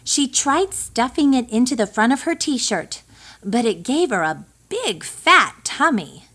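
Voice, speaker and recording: A woman reading a children's picture book aloud in an expressive, deliberate storytelling manner for young listeners. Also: on the high side. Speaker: storybook reader.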